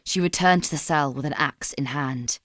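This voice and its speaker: A woman narrating a dramatic story from a script in a somber, measured manner. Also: low-pitched, a bit bright, dry recording, clean studio sound.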